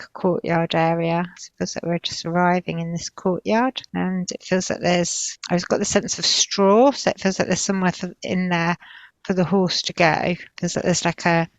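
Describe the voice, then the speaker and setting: Woman slowly feeling out a vision. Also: on the low side, no room sound, dull audio. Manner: tentative, halting, searching for images. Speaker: healer describing visions in a session. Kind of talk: slow, improvised trance narration.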